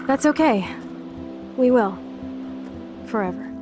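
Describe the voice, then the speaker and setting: A woman's voice: a little high, expressive, slow, over music. An actress voicing a brash, sarcastic, loyal young punk woman in a video game, with a blunt, slangy way of talking. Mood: grateful, playful. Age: young adult. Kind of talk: naturalistic video game acting.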